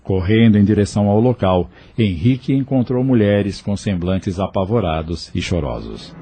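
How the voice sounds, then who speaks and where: A man's voice: fairly low pitch, animated, moderate pace, warm tone, a little hiss or hum. A man with a Brazilian accent giving scripted narration for an audio novel.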